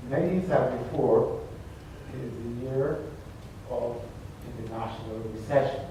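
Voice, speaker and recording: Mellow-voiced man asking from echoey hall. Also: medium pitch, a little hiss or hum. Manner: halting, deliberate questioning. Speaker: audience member asking a question. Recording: echoey room, far from mic.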